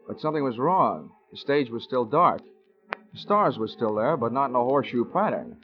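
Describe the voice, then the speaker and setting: An actor playing a wry, hard-boiled American private investigator in an old radio detective drama. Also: male voice, medium pitch, animated, moderate pace, soft background music, natural voice. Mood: dreamy, wry. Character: wisecracking male hard-boiled private investigator, dry and unflappable. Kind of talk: 1940s-50s radio detective narration and acting. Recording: dull old radio recording.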